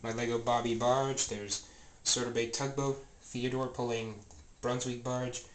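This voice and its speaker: A man's voice: mid-range voice, level tone, unhurried. A man with a stuffy, scratchy voice giving a rambling, casual tour of his train room, full of fillers and restarts. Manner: rambling, many fillers and self-corrections. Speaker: toy train vlogger. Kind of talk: casual show-and-tell narration. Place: home train room, indoors. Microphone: handheld camera mic, filming close.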